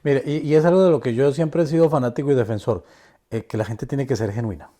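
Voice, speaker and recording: A man hosting an interview, relaxed and friendly, with short affirming replies. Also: mid-range voice, fast. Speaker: interview host. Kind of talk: conversational interview.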